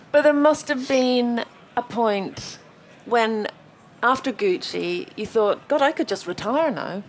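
A woman's voice: on the high side, expressive, takes it slowly, slight background noise. A woman interviewing a guest on stage, chatty and playful in her questions. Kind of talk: onstage interview.

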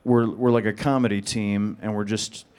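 Low-voiced male panel guest, dry and deadpan, monotone. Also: normal speed. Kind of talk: audience Q&A answers.

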